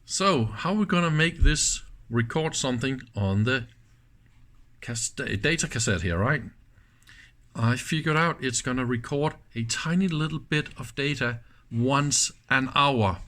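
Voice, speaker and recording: A man presenting a casual hands-on demonstration, speaking in halting pieces and often checking in with short questions. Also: mid-range voice, animated, a bit bright. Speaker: presenter of electronics teardown videos.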